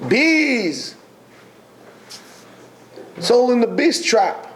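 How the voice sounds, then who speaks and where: Slow, animated man teaching Torah. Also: very high voice, slight background noise. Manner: emphatic, exhorting, with many pauses. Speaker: yeshiva teacher. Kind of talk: Torah lecture to students.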